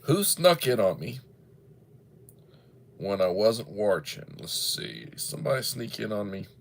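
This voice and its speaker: Folksy man in his sixties, lower voice. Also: very animated, unhurried, vocal fry. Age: 60s. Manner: rambling, folksy, reads chat comments aloud. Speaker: livestream host. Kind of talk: casual livestream chat.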